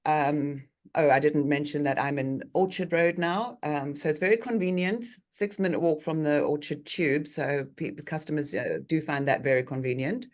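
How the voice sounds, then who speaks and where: A woman in her forties from South Africa, an osteopath giving a chatty online talk with frequent ums, on a video call from a bright treatment room. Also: low-pitched, moderate pace, hardly any pauses. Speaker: osteopath guest speaker.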